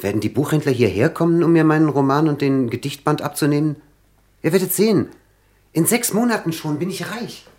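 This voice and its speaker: An actor playing an eager, ambitious young poet in a radio drama, fervent and theatrical. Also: male voice, on the high side, animated, brisk, a little mellow. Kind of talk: German radio drama acting.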